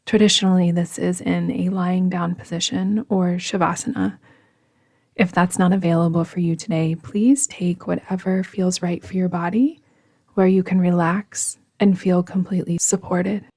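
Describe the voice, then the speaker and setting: A woman narrates a guided relaxation in a breathy, fairly low voice. Her delivery is gentle and even, with very little rise and fall. She is soothing and deliberate, often softening to a whisper. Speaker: yoga nidra guide.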